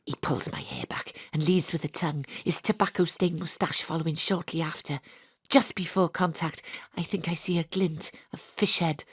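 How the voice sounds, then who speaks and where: An actress playing a wry, deadpan, sardonic female private detective in a noir audio drama. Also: deep voice, normal speed, slightly rough, dry recording. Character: hard-boiled wisecracking female private detective, sardonic and impatient. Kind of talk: first-person noir narration in an audio drama.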